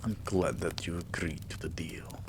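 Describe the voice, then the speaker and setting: A man playing a tender, devoted vampire king in a romantic ASMR roleplay, speaking in a hushed, breathy, soothing way that often slips into a whisper. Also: deep voice, background noise, close to an everyday voice. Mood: soothing, drowsy. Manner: hushed, slow, soothing, affectionate.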